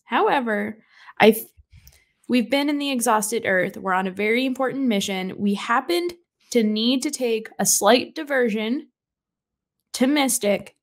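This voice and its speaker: A woman's voice: on the high side, a little slow. A woman playing her character in a tabletop game, earnest and flustered. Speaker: player.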